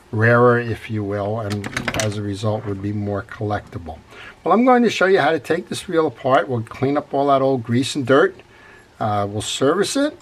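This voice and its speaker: An older man with a head cold, congested, hosting a relaxed, rambling how-to on servicing a fishing reel at his workbench. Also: mid-range voice, slight background noise. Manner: relaxed, rambling with frequent fillers. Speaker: fishing reel repair channel host. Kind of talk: casual how-to narration. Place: workshop bench.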